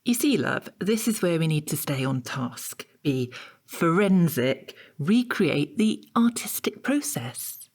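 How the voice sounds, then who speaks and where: Pompous female interrogator, formal and prompting, lower register, very varied intonation. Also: big pitch swings, slow, a little mellow, heavily acted. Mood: firm, correcting. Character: probing, pompous female art-history interrogator keeping things on task. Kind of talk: audio drama dialogue.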